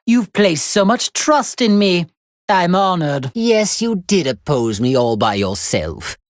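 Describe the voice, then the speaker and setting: Imperious alien overlord villain for a fighting game: high, wildly swooping voice, cruel beneath mock courtesy, theatrical and haughty. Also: male voice, big pitch swings, slow pace, dry recording, clean studio sound, full character voice. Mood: smugly pleased. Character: imperious male alien galactic tyrant, cruel, mockingly polite villain. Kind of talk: theatrical video game voice acting.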